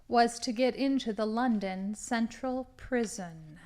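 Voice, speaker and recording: An actress plays a female criminal mastermind in an audio drama. Her voice sits fairly high, and she tells her schemes with smug menace and theatrical emphasis. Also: slow pace. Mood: gloating, menacing. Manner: theatrical, gloating storytelling with hushed dramatic emphasis.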